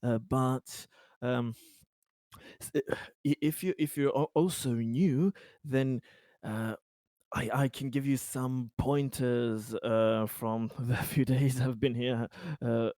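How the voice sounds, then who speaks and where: Slow, stammering man playing a friendly colonist. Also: mid-range voice, steady tone, dark tone, dry recording, studio quality, close to an everyday voice. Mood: friendly, hesitant. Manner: halting, stammering, over-polite. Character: chatty, awkwardly friendly male colonist, boastful and eager. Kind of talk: improvised tabletop roleplay.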